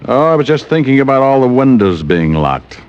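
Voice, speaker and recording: An actor playing a shrewd, breezy private detective with a sardonic, casual manner in an old-time radio drama. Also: male voice, mid-range voice, very animated, fairly quick, background noise, close to an everyday voice. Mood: inquisitive. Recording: muffled old radio recording.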